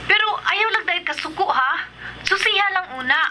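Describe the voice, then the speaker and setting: An actress with a Visayan Filipino accent playing a gossipy, coy friend passing on news in a radio drama. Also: female voice, very high-pitched, animated, a little fast, pushed and bright, slight background noise, exaggerated voice. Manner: coy, hesitant tattling. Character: gossiping woman friend coyly breaking bad news.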